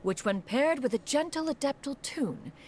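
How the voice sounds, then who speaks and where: A woman's voice: high voice, expressive, normal speed, slight background noise. A woman voicing a dignified immortal inventor in a video game, formal, composed and self-assured. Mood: proud. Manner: formal, composed, stately diction.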